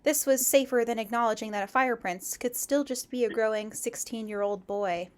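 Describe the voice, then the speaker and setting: A woman with a fairly high voice hosts a casual livestream, reading fiction aloud and chatting about it. Her delivery is expressive, giggly and effusive. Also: moderate pace.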